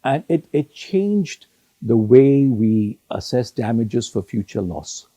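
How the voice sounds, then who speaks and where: An older man whose native language is Sindhi, answering interview questions in a measured way with many pauses and restarts. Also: medium pitch, big pitch swings, warm tone, dry recording. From Hong Kong. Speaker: retired judge, interview guest.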